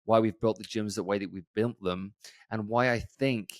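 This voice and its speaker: A man in his forties talks on his own podcast in a loose, rambling way, full of ums and restarts. His voice sits a little low. The sound is dry and close, with no room around it. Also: steady tone, moderate pace, dry recording. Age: 40s. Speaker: gym owner and podcast host. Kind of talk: casual solo podcast talk.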